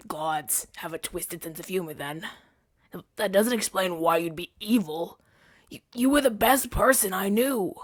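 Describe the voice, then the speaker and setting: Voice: medium pitch, dry recording, studio quality, natural voice. A woman playing a flustered, self-righteous hero in a scripted ASMR audio drama, with a theatrical, stammering delivery. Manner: stammering, indignant, dramatic delivery with breathy whispers.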